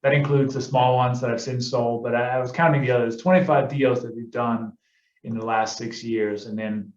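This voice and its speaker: A man's voice: mid-range voice, fairly even intonation, normal speed. A middle-aged man from Denmark, presenting on a webinar with many fillers and self-corrections. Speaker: guest presenter, real estate investor. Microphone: video call. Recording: echoey, dull webinar audio.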